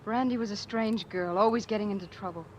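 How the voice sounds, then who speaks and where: A woman's voice: medium pitch, fairly quick, lightly acted. A woman acting a fragile, anxious young working woman in a 1940s radio drama. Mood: subdued, wistful. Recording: muffled, noisy old radio recording.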